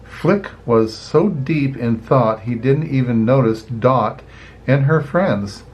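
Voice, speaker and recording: An older man reading a children's storybook aloud in an expressive, unhurried way, adding chatty little asides. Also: medium pitch, rough recording. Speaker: grandparent reading picture books aloud.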